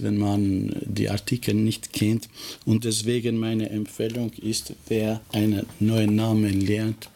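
A middle-aged man from Kosovo speaks German with an Albanian accent, his first language showing through. His voice sits low and flat, and he answers at an unhurried pace with frequent pauses as he works out each phrase. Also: vocal fry, slightly rough. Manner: slow, measured, pausing speech with careful phrasing. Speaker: teacher and interviewee. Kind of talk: interview answers.

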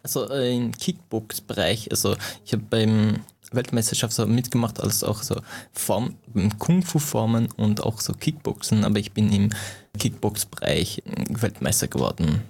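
A man's voice: lowish voice, moderate pace, vocal fry, darkish tone, husky. A young man who grew up in Iran, speaking German as an in an interview, hesitant and full of fillers and restarts. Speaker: guest, martial arts coach.

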